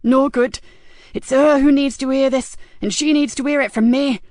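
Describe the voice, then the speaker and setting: A woman's voice: high voice, fairly even intonation, moderate pace, a little mellow, no echo, studio quality, pitched above the performer's own voice, natural voice. A woman voicing a frightened, desperate young woman on the run in an audiobook, pleading urgently and tearfully in an odd manner.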